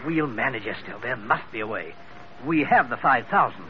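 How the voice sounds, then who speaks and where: A muffled old radio recording with faint noise, of a man acting as a nervous husband. He speaks quickly and urgently in a mid-pitched voice, working to stay in control. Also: lively intonation, close to an everyday voice. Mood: urgent, soothing. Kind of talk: 1940s-50s radio drama acting.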